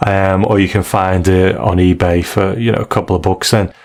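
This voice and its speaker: A man with a fairly low voice talks as a podcast host. His delivery is conversational and measured, politely softening any criticism as he reviews. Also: flat delivery.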